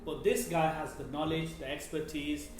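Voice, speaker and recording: A man's voice: fairly high pitch, level tone, moderate pace, slight background noise. A man hosting a podcast interview, chatty and slangy, cutting in often with questions. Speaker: podcast host. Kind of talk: casual interview chat. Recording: very echoey room, faint music.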